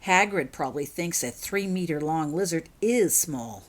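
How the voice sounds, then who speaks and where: A woman's voice: deep voice, animated, moderate pace. A woman voicing a blunt, emphatic schoolboy given to complaining, in a storybook reading. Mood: grumbling.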